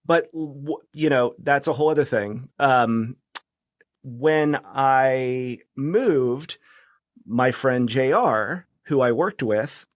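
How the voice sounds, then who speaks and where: A middle-aged American man chatting casually as a podcast guest, reminiscing in a halting way. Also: medium pitch, dry recording.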